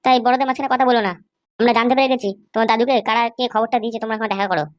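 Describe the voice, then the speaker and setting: A woman's voice: fairly high pitch, steady tone, racing, lightly acted. A woman voicing a meddling, insolent young male sidekick, mocking and accusing. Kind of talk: amateur comedy cartoon dub.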